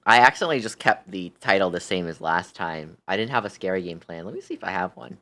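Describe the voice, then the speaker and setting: A man's voice: sits low, expressive, quick pace, pushed and bright. A tired man hosting a livestream, chatting casually and thinking aloud as he goes. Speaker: livestream host.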